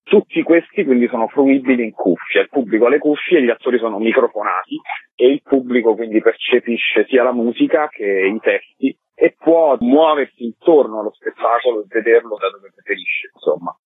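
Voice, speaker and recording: A man's voice: mid-range voice, lively intonation, brisk, breathy edge, no room sound. A man, a theatre director being interviewed for radio, explaining his project over a phone call. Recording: muffled narrow phone line.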